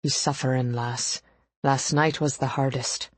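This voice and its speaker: Woman voicing a low, understated male chauffeur. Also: steady tone, a little slow, no echo. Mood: sombre concern. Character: kindly older male chauffeur, discreet and formal. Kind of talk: audiobook character dialogue.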